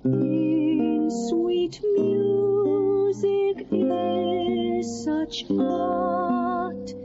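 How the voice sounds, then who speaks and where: A woman playing a serving maid, singing a gentle song over plucked strings. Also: very high-pitched, little pitch movement, drawn-out words, lo-fi sound, heavily acted. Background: plucked string music.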